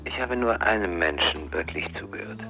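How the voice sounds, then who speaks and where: A man's voice: sits low, animated, fairly quick, gravelly, bright, forceful tone, faint music behind, heavily acted, poor audio. An actor playing a terse, resigned, solitary man in a radio crime drama.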